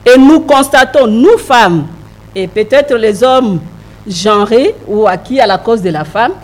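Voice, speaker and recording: Slow, earnest woman at a debate. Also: a little high, lively intonation. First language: a Congolese language. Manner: earnest, emphatic, with hesitant restarts. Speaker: panel speaker. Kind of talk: public debate remarks.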